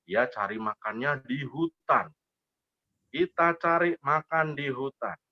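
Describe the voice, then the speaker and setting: Playful male storyteller, widely swinging pitch. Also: lively intonation, takes it slowly, no room sound, highs cut off. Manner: playful, repeats phrases, asks questions. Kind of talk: interactive children's storytelling.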